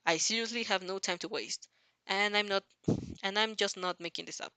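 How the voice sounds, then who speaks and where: A woman's voice: medium pitch, normal speed, bright, forceful tone, smooth, no echo. A woman voicing a stern, exasperated male superhero who scolds emphatically in a fan-fiction reading. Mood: impatient.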